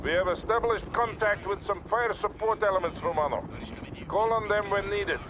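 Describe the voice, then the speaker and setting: A stern, weary Soviet colonel from a war game, acted by a man, with a Russian accent. The voice sits a little high for a man and gives clipped commands. Battle noise is loud behind it. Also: moderate pace, dull audio, lightly acted. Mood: firm, commanding. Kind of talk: scripted video game cutscene acting.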